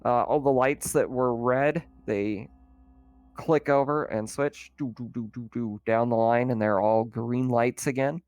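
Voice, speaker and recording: A man's voice: mid-range voice, steady tone, measured pace, darkish tone, no room sound, highs cut off. The man behind the screen at a game night, unhurriedly feeling out each scene as he talks, close and dry. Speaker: game master. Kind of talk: improvised narration of a tabletop roleplaying game.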